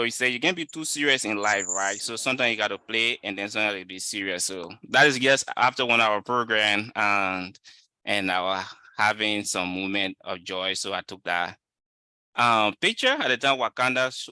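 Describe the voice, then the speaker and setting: Sharp-voiced Liberian man presenting. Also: lowish voice, normal speed, smooth, no echo, rough recording. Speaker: webinar speaker, student researcher. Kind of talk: informal lecture presentation.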